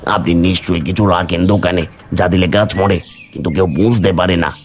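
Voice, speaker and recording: A man's voice: deep voice, fairly even intonation, brisk, natural voice. A man voicing a scheming, envious henchman who is servile to his boss in a village cartoon. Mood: sly, conspiratorial. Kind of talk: cartoon story dialogue.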